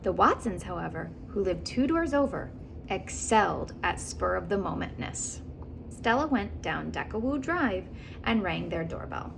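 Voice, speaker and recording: A woman's voice: mid-range voice, measured pace, vocal fry, background noise. A woman reading a storybook aloud as host, expressively, with asides to the listener. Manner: expressive, acts out characters. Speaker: host of a children's read-aloud.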